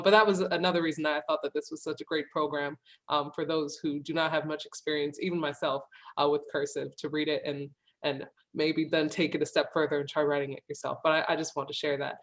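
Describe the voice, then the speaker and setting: Woman moderating informally, slightly halting phrasing. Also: low voice. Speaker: program host and moderator. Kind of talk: informal hosting remarks.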